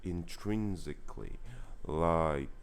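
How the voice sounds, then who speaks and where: A young man with a Russian accent speaking English as a second language in a candid vlog monologue. He is earnest and halting, searching for words and often correcting himself. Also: low-pitched, creaky, slight background noise. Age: young adult. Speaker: vlogger. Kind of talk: casual confessional monologue.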